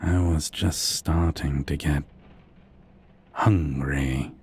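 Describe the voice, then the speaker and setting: A haughty vampire captor, acted by a man in an ASMR roleplay, speaks in an extremely low voice at an unhurried drawl. He is theatrical, archly formal and condescending, with sadistic menace. Clear background noise sits behind the voice. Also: fairly even intonation, a little mellow, full character voice. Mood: smug, taunting.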